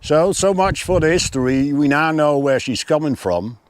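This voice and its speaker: A man's voice: on the high side, animated, normal speed, no echo. A man presenting a narrated tour of a motorhome as a vlogger.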